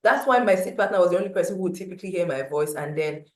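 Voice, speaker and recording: Woman speaker, low loud voice, lively animated storytelling, roomy echo. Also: rapid-fire. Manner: animated storytelling with big swings in pitch and loudness. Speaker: motivational speaker. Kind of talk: storytelling talk at a breakfast meeting.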